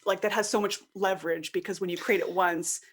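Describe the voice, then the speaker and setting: A woman's voice: medium pitch, fairly even intonation, fairly quick. A woman speaking as a podcast guest in a conversational interview, informal and thinking aloud, voicing imagined quotes as she explains. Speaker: podcast guest, online course creator and coach.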